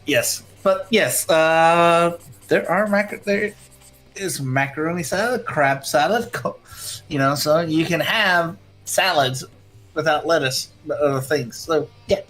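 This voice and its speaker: A person in casual livestream banter, teasing and quick to interject. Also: medium pitch, fairly bright tone. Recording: music behind the voice. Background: music.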